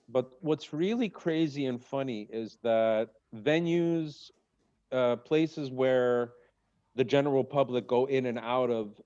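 A man working as a stand-up comedian, talking in a loose, rambling interview style that builds into animated rants. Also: mid-range voice, highs cut off. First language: English. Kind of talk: conversational interview. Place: in Egypt.

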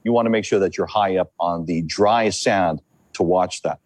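A man from Hawaii, a meteorologist, explaining the weather and big surf in a halting, repetitive way during a news interview. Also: deep voice, a little mellow, no room sound. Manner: halting, repeats words while explaining.

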